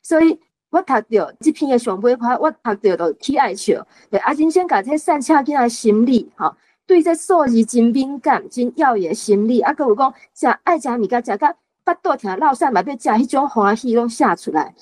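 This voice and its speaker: A woman's voice: fairly high pitch, animated, normal speed, darkish tone. A woman in her late fifties with a Taiwanese accent, discussing a story as a guest and sharing personal anecdotes. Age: late 50s. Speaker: guest panelist.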